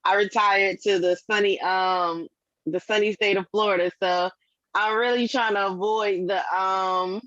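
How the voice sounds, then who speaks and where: A woman's voice: mid-range voice, steady tone, unhurried, a bit bright. Older woman on muffled, lo-fi audio. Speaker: team member in a meeting. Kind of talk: casual chat, asking questions. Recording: dull lo-fi call audio.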